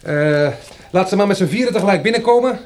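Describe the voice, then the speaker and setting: An actor playing a reluctant captive who guides others, dry and sardonic, in a Dutch radio play. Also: male voice, high voice, expressive, fast talker. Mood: tense, guiding.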